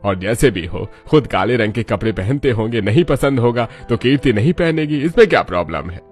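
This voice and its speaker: A man's voice: mid-range voice, lively intonation, a little fast, lightly acted. A man playing a worried, earnest middle-aged father in a cartoon family story. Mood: persuasive. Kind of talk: Hindi cartoon story dialogue. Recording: music bed behind the voice.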